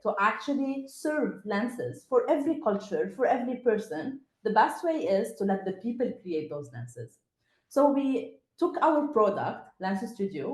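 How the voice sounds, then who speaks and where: A woman's voice: mid-range voice, a little mellow. A woman from Lebanon with a Lebanese accent and Arabic as her first language, introducing herself as a panelist to students on an online call. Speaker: panelist, tech programme lead. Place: indoor room, online video call. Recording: roomy, echoey call audio.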